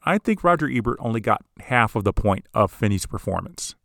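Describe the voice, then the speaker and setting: A man hosting a podcast, giving scripted, measured commentary on film history. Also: medium pitch, expressive, vocal fry, fairly bright tone, no room sound, studio quality. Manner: measured, analytical. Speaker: podcast host.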